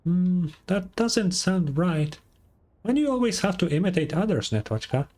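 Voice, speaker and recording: A woman voicing a perky, bossy little fairy companion in an anime game, squeaky and exclamatory. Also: sing-song, normal speed, mellow. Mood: earnest, encouraging. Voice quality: squeaky, childlike. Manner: refers to self in third person, exclamatory. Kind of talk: anime video game voice acting.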